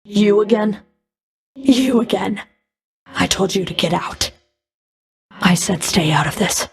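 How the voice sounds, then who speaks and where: A cold female Fury, a whip-wielding enforcer of the underworld in a video game. Her delivery is slow and deliberate, with heavy vocal fry and a breathy edge. She speaks in curt, scornful commands and taunts. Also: medium pitch, soft background music. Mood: scornful, angry. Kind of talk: video game combat voice lines.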